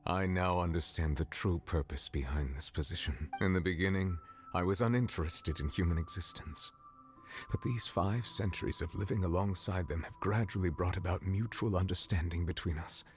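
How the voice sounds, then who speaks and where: An actor playing a dignified, stern, ancient supreme judge in a video game, speaking formally and gravely. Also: male voice, low-pitched, lightly acted. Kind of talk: scripted dramatic game voice acting.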